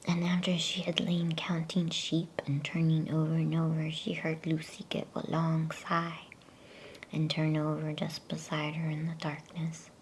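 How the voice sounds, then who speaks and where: A woman softly whispering an ASMR bedtime story as storyteller, hushed, soothing and unhurried. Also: sits low, monotone, slight background noise.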